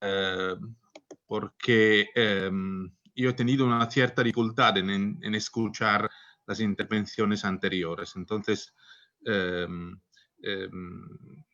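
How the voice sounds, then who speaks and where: Halting man, Spanish, dull line. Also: on the low side, steady tone, a bit bright. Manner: halting, many fillers. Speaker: panelist. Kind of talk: online seminar talk. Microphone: video call. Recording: dull lo-fi video call.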